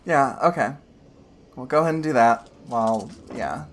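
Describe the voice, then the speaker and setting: A woman's voice: rumbling low, expressive, normal speed, a little hiss or hum. Rambling woman game master. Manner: loose, full of "like" and "kinda" fillers. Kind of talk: narrating a tabletop game.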